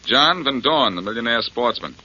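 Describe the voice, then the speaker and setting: An actor playing a self-assured, wisecracking detective hero in a 1940s radio drama, breezy and dry. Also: male voice, medium pitch, lively intonation, normal speed, pushed and bright, breathy edge, heavily acted. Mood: wry, teasing. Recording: muffled 1940s radio recording with music.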